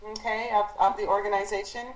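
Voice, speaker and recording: A woman leading a team conference call as an instructor, explaining business matters in a halting, encouraging way as she thinks aloud. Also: mid-range voice, flat delivery. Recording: roomy conference call audio.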